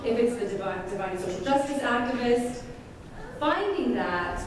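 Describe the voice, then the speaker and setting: A woman with a deep voice stands a good way from the mic in a very echoey lecture room, taking audience questions after her talk. She speaks candidly and laughs often at herself. Steady background noise sits under her voice. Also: recorded from a distance. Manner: laughs often, self-deprecating. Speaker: guest speaker.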